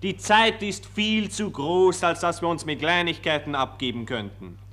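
An actor playing an earnest, pompous patriotic optimist, declaiming formally in a 1940s radio play. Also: male voice, on the high side, moderate pace, fairly bright tone, close to an everyday voice. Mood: lofty conviction.

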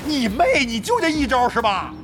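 A man's voice: extremely high pitch, sing-song, moderate pace, music in the background. An actor playing a brash, crafty middle-aged veteran gamer in a Chinese audio drama, cocky and slangy, grumbling and swearing as he banters. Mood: exasperated.

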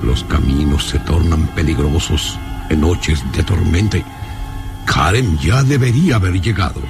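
An actor playing a gaunt, imperious old baron in a radio drama, speaking gravely and theatrically. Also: male voice, deep voice. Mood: brooding, worried. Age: older. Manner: slow, grave, theatrical, commanding. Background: dramatic music.

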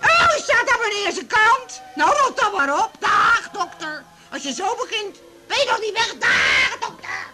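Radio drama role: bossy middle-aged wife, blunt and shrill, high voice that leaps wildly, loud music over it. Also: female voice, huge pitch swings, a little slow, full character voice. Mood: furious. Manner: blunt, dismissive. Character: domineering, touchy wife of about fifty, loud patient. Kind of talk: Dutch radio drama acting.

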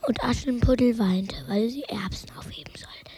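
A child with a breathy, whispery voice retelling a fairy tale haltingly from memory on a hissy tape. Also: mid-range voice, darkish tone. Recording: hissy cassette tape with clicks.

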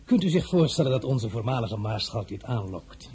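An actor playing an imperious, boastful French emperor in a historical radio drama, pompous and declamatory, by turns mocking and threatening. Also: male voice, medium pitch, sing-song, normal speed, darkish tone, slightly rough. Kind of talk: Dutch-language historical radio drama acting.

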